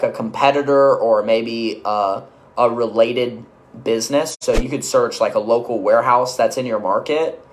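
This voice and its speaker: A man giving an instructional business-coaching talk, conversational and energetic, checking in often with quick asides. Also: mid-range voice, slight background noise. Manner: energetic, conversational, uses filler checks like "okay?" and "right?".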